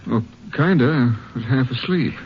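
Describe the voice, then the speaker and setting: An American actor playing a sleepy, easygoing rancher husband in a 1950s radio drama. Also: male voice, medium pitch, lively intonation, normal speed, husky. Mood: drowsy, reassuring. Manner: folksy, sleepy. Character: drowsy, easygoing rancher husband, a grown man. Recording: muffled, faintly noisy old radio recording.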